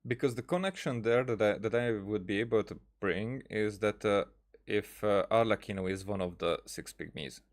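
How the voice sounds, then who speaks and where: A man giving casual game commentary, thinking aloud in a halting, rambling way. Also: on the low side. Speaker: gaming streamer.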